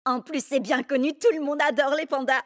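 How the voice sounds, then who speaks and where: Woman voicing very high, gushing cartoon kid. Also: steady tone, fairly quick, natural voice. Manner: gushing, bubbly. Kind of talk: cartoon dub acting.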